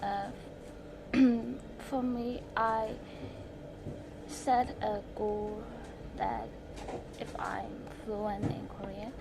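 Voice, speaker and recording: Halting teenage Burmese-accented girl, very noisy recording. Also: mid-range voice. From Myanmar. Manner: halting, short broken phrases. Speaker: language-learning vlogger. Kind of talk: self-study tips presentation to camera.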